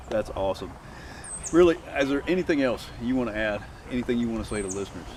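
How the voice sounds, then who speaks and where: A man's voice: mid-range voice, animated, moderate pace, a little mellow. A man hosting an interview, eagerly affirming his guest and summing up the lessons. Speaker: interview host. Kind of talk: casual interview. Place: outdoors at a rural gathering. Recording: distant mic in a noisy outdoor setting.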